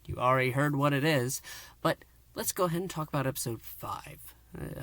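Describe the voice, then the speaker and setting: A man's voice: fairly high pitch, a bit bright, dry recording. A man recapping an audio drama in a casual, playful review, often dropping into a whisper. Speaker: podcast reviewer.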